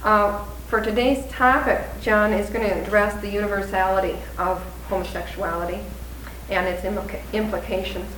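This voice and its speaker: A woman's voice: medium pitch, steady tone, fairly bright tone. Woman reading a speaker intro at a service, ums here and there, faint hiss, far from the mic. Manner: measured, with frequent ums. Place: congregation hall. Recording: echoey room, distant mic, faint noise.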